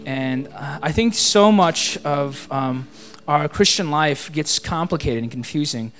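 A man introducing a guest speaker at a church retreat, halting and full of fillers. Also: on the high side, fairly bright tone, faint music behind. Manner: halting, lots of fillers and restarts. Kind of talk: spoken introduction.

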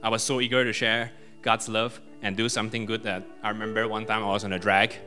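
A young man with a Malaysian accent giving a casual personal testimony at a church retreat, self-deprecating and quick to laugh, with plenty of fillers. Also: lowish voice, little pitch movement, pushed and bright, soft background music. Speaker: guest speaker.

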